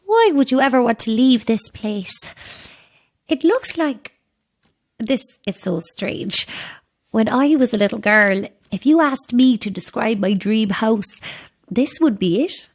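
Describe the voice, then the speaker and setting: A jittery makeup saleslady in an audio drama, highish voice, talks slow, super polite n flowery. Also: expressive. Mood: wistful. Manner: polite, flustered, grandiose sales patter, hesitant. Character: nervous, polite make-up saleswoman with flowery patter. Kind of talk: audio horror drama acting.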